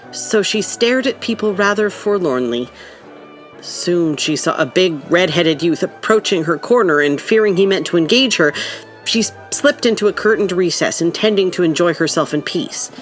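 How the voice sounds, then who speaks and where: Low-voiced woman narrating a story. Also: expressive, faint music behind. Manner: measured storytelling. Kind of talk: scripted storybook narration in an audio play.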